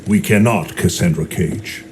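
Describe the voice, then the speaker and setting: A man's voice: deep voice, measured pace, darkish tone. A man playing a stern, wise protector god in a video game, solemn, formal and authoritative. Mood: grave. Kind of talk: dramatic video game acting. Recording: faint noise behind the voice.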